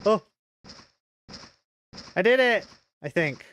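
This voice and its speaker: A woman's voice: mid-range voice, expressive, takes it slowly, a bit bright, a little hiss or hum. A woman streaming a video game, commenting casually with a lot of exasperated swearing and exclaiming. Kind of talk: casual live gameplay commentary.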